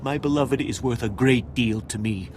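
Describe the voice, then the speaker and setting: A man's voice: mid-range voice, normal speed, a little mellow, a little hiss or hum, close to an everyday voice. A man playing an aggrieved, impassioned alien citizen in a video game, with formal wording. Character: desperate, indignant male alien pleading for his mate. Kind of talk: video game dialogue acting.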